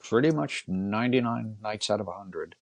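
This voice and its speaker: An older man with a gravelly, husky voice, telling personal stories casually as a guest, in a reflective way with pauses between short phrases. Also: fairly low pitch, animated, no echo. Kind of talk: casual storytelling in conversation.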